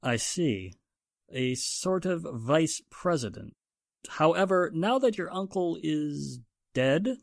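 An actor playing a skeptical police inspector in a 1940s-style radio drama, deliberate, dry and official in manner. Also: male voice, fairly high pitch, lively intonation, gravelly, dry recording, full character voice. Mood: pointed.

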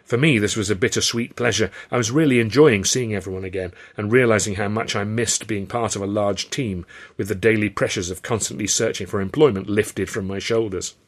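A man's voice: on the low side, fairly quick. A man with an English accent narrating a first-person story from a script in a wry, literary style. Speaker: author and audiobook narrator.

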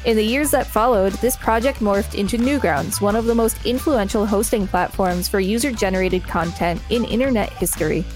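A woman's voice: mid-range voice, normal speed, few pauses, faint music behind. A woman co-hosting a podcast, reading an encyclopedia article aloud. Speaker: podcast co-host and reader.

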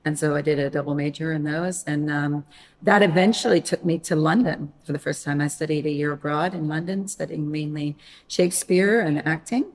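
A middle-aged woman from Maine, a podcast guest telling her life story in a casual interview, animated, with quick laughs and frequent restarts. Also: deep voice, rough recording. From Maine, USA. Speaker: podcast guest, wine critic.

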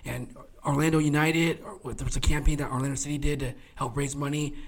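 A man in his late twenties, co-hosting a casual sports podcast. He talks in a chatty, rambling way, fills his sentences with fillers and often laughs. His voice sits a little above the usual male pitch. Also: little pitch movement, quick pace. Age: 20s. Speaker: podcast co-host.

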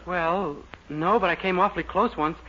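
An actor playing a naive, earnest young man in a 1940s radio sitcom, chattering quickly and innocently. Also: high-pitched, fairly even intonation. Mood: eager. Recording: dull 1940s radio broadcast with loud hiss.

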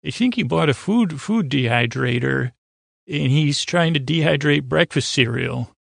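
Doting, dim, well-rested spouse played by a man: creaky, halting, affectionate breakfast musing for a podcast skit, dry sound. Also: fairly high pitch, lively intonation, a bit bright, dry recording, full character voice. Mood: puzzled musing. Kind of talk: improvised comic dialogue.

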